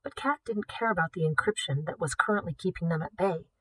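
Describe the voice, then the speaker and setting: A woman reading a story aloud in an expressive, scripted narration style. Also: on the low side, brisk, slightly rough, dry recording, rough recording. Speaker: audiobook reader, teacher.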